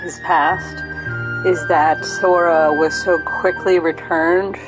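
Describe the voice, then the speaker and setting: A woman streaming a game live, explaining the story in a halting way as she thinks aloud. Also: fairly low pitch, flat delivery, poor audio. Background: game music.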